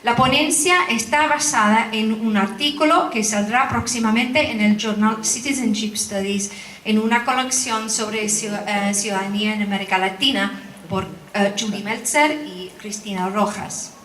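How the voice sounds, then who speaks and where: A woman's voice: mid-range voice, a bit bright. Woman reading a paper in an echoey hall. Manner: careful, measured reading with hesitations. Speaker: conference speaker. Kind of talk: academic talk read from a script. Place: large conference hall. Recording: echoey hall, voice from far off, faint noise.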